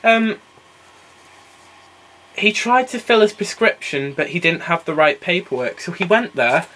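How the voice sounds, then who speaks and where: A man's voice: sits high, animated, measured pace, sharp tone, poor audio. A young man hosts a casual podcast chat, teasing his co-hosts and jumping between topics. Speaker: podcast host. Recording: faint hiss and clicks.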